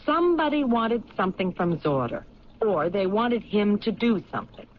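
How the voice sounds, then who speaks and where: A woman's voice: mid-range voice, big pitch swings, lightly acted. A woman playing a probing police lieutenant. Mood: pondering. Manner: measured, probing questions. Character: thoughtful woman police lieutenant, steady and intuitive. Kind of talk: 1970s radio drama acting. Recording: dull lo-fi radio broadcast with faint hiss.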